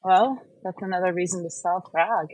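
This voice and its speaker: A woman's voice: fairly low pitch, normal speed, darkish tone, a little hiss or hum, rough recording. A woman hosting a show in a casual, conversational chat style. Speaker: show host.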